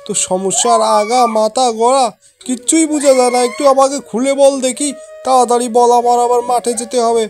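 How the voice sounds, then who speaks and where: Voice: mid-range voice, a little fast, natural voice. An actor as a scolding, doting village father over music. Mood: impatient. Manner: repetitive, rustic scolding talk. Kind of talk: amateur cartoon voice acting. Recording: loud music behind the voice.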